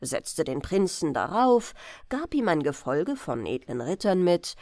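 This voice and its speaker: A woman narrating a fairy-tale audiobook for bedtime with expressive, deliberate phrasing. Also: on the low side, no echo, studio quality.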